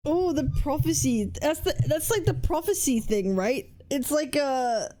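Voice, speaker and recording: A woman game streamer giving casual, excitable reaction commentary in informal, slangy speech. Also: sits high, a little slow, poor audio. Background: faint game music.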